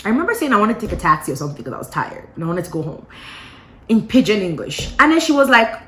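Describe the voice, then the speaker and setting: Woman vlogger, mid-pitched and chatty, animated gossip storyteller, slight room echo. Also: quick pace. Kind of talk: casual gossip chat to camera. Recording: roomy echo.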